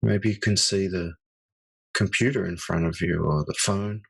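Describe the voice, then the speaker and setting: A man guides listeners through a mindfulness meditation. His voice sits fairly low with a breathy, husky grain, and he speaks slowly and softly, leaving long pauses between phrases. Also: fairly even intonation. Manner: slow, soft-spoken, with long pauses.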